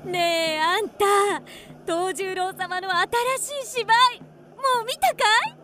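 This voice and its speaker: A woman playing an excitable, gossiping townswoman and swooning theatre fan in a period radio drama, with gushing, earthy old-fashioned speech. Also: very high-pitched, measured pace. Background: music.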